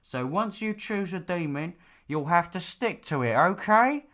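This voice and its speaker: A man's voice: high-pitched, animated, unhurried, a bit bright, pitched above the performer's own voice. A man voicing a stern, exasperated, lecturing father in a fantasy audiobook. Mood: bossy. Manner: lecturing, bossy. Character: stern, nagging, exasperated father of a demon slayer. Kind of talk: audiobook character dialogue.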